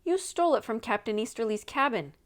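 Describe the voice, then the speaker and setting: Young female detective, plucky, insistent, quick to question, for a children's mystery voiced by a woman narrator. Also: a little high, animated, lightly acted. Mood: accusing. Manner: urgent, probing, persistent questioning. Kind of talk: storybook read-aloud character voice.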